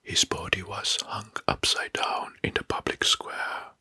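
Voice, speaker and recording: A man narrating in a whispered ASMR style, slow and deliberate with a breathy voice, close to the mic. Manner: slow, deliberate, repeats words softly. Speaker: host of ASMR history video. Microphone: close mic, dry.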